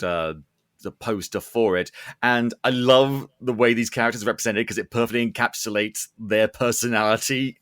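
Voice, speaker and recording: A man's voice: lowish voice, a bit bright, no room sound, clean studio sound. A man hosting a podcast, talking in a casual, enthusiastic and rambling way about what he likes. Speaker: podcast host and reviewer. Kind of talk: casual enthusiastic interview chat.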